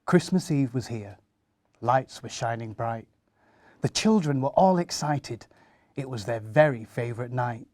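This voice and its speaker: A man's voice: medium pitch, lively intonation, unhurried, warm tone, no room sound, studio quality. A man introducing and reading a children's story for a charity. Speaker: guest story reader for a charity.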